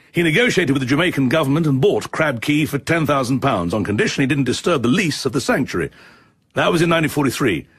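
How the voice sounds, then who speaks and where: An actor playing an efficient, level-headed military chief of staff in a radio drama, British, with a brisk, precise way of explaining. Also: male voice, a little high, a bit bright, breathy edge, dry recording.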